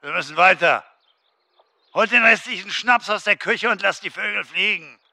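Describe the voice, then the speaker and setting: A crude, jeering wartime squad leader in a radio drama. The voice is high and swings widely, with a gravelly edge and a derisive bark. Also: male voice, animated, moderate pace, pushed and bright, no room sound, clean studio sound, full character voice. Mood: impatient, brutal. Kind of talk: German radio drama acting.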